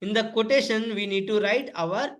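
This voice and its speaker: A man presenting a step-by-step software tutorial in an instructive way, sometimes spelling things out. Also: sits high, normal speed. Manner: instructive, spells out words letter by letter.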